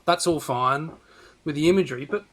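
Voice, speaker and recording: A man's voice: a little high, brisk. A man giving emphatic, critical opinions in a casual film podcast chat. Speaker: film discussion podcast panelist.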